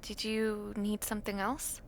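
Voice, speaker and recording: A young woman acting an affectionate, teasing university student in an intimate roleplay, talking softly and playfully to the listener late at night. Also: mid-range voice, monotone, unhurried, slight background noise, natural voice. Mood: fond, sleepy. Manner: soft, playful, teasing, with breathy murmurs.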